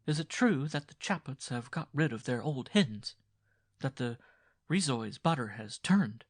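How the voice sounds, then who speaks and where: Man playing a chattering, nagging peasant wife, pitched up. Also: animated, measured pace, slightly rough, no room sound, clean studio sound. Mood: prying, chatty. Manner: chattering, insistent, questioning. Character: talkative peasant wife, nagging, prying, evasive. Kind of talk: dramatized audiobook dialogue.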